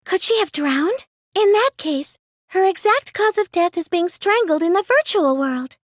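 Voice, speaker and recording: A woman's voice: very high voice, animated, measured pace, no echo, clean studio sound. A woman playing a timid, earnest, nerdy teenage girl in an English video game dub, hesitant and prone to stammering when flustered. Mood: thoughtful, questioning.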